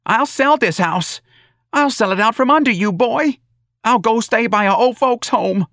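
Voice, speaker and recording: A man's voice: fairly high pitch, animated, moderate pace, a bit bright, no room sound, studio quality, pitched above the performer's own voice. A man voicing an aggrieved older working-class mother in an audiobook, with plaintive, folksy, ungrammatical talk. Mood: angry, wounded.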